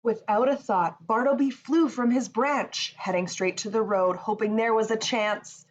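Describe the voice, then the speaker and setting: A woman reading a rhyming children's picture book aloud in an expressive storytelling manner. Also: on the high side, unhurried, highs cut off. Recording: faint background noise.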